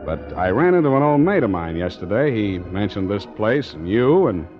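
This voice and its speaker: An actor playing a tough, wary American sea captain and narrator in a 1940s radio drama, with a clipped, wry delivery. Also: male voice, lowish voice, big pitch swings, normal speed. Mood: wry, probing. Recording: dull old radio recording, organ music.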